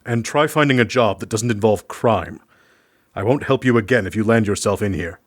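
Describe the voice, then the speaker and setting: A man acting in an audio drama as a discreet medic, speaking in a measured, formal, coy way. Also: lowish voice, no room sound, clean studio sound, close to an everyday voice. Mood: calm, instructive. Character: dry, discreet male medic quietly aiding prisoners. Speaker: voice actor in a fiction podcast.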